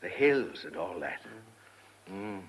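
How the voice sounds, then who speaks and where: A man's voice: low-pitched, very animated, unhurried, gravelly, heavily acted. An actor playing an ailing older farmer, a reflective, plain-spoken father in a radio drama. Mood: wistful.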